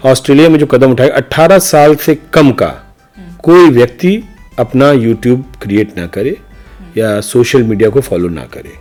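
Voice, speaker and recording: A middle-aged man with a medium-pitched voice talks as an interview guest. He is reflective and opinionated, building his points with emphatic, rhetorical repetition. Faint music plays behind him. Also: measured pace, darkish tone. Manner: emphatic, rhetorical, speaks in repeated parallel phrases. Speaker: interview guest, senior news journalist.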